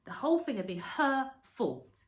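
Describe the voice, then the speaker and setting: A woman reading a children's story aloud like a teacher, with expressive, varied emphasis. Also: fairly high pitch, normal speed. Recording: roomy, echoey indoor sound.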